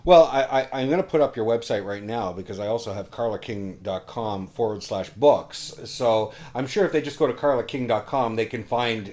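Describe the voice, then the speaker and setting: A man hosting a casual interview, enthusiastic and halting, often repeating his words as he goes. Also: mid-range voice, darkish tone. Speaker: interview show host.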